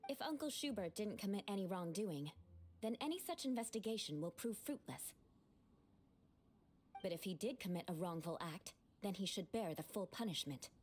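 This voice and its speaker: A woman voicing a proud aristocratic young woman knight in game dialogue, speaking in a formal, measured, lofty manner. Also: medium pitch, animated, a little hiss or hum, lightly acted. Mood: stern.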